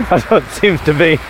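Punning man on a noisy street walk. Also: on the high side. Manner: joking, punning, wry. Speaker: podcast host. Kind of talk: casual chat while out walking. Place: outdoors on a street. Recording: loud street and traffic noise.

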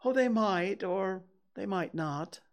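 A gentle, fretful toy bear speaks in a raised, drawn-out voice, pausing and stammering over his words, as a storyteller puts him on. The delivery swoops up and down in storybook fashion and never hurries. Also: medium pitch, expressive, gravelly, pitched above the performer's own voice. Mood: ponderous. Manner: slow, ponderous, hesitant with stammers. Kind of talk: storybook reading.

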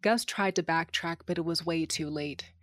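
Woman acting brash, low-voiced starship captain. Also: normal speed, no echo, studio quality, close to an everyday voice. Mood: matter-of-fact. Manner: wry, flippant, sardonic. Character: brash, cynical female smuggler starship captain, flippant and ruthless. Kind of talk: first-person audio drama narration and dialogue.

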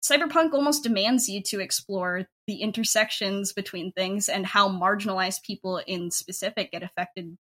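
Woman, twenties, medium pitch, informal and chatty, frequent "like" as filler, podcast guest. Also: normal speed, hardly any pauses, a bit bright. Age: 20s. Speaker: podcast creator and writer, interview guest. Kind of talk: casual conversational interview.

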